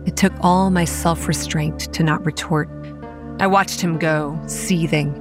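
A woman's voice: sits low, fairly even intonation, unhurried, over music. A woman playing a guarded, hot-tempered young campground manager who narrates and speaks in a horror audio drama, earnest with a sardonic edge. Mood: brooding. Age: young adult. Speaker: narrator and lead character.

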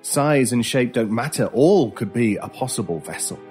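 A brooding male stranger played by an actor in an old-time radio horror play. He is a medium-pitched, intense expert on the uncanny, speaking deliberately with dramatic pauses over music. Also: animated, darkish tone, natural voice. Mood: grave, explanatory. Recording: music under the voice.

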